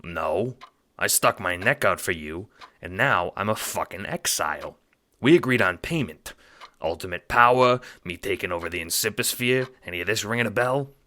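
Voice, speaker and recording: A man playing a gruff, impatient, cynical gangster in an audio drama, growling in blunt slang. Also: sits low, animated, normal speed, pushed and bright. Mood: indignant. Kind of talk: audio drama character acting.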